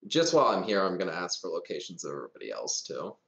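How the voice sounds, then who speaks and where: A man's voice: deep voice, huge pitch swings, fast, creaky, fairly bright tone. A man chatting casually as a player in a tabletop roleplaying game, recorded with a dull, roomy sound. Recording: dull, echoey room sound.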